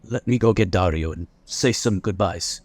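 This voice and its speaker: Deep-voiced wary father, played by a man. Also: normal speed, darkish tone, dry recording. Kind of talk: audio drama acting.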